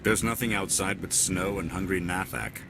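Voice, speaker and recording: A man playing an old, courteous and wry alien office manager in a video game, with measured, formal speech. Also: deep voice, fairly even intonation, gravelly, low-quality recording. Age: older. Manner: measured, formal, sly politeness. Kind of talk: video game dialogue acting. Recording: music behind the voice.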